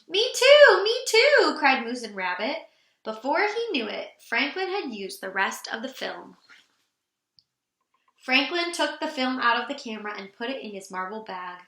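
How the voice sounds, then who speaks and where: A woman reading a children's storybook aloud in an animated, expressive way for young listeners. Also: fairly high pitch, moderate pace, reverberant.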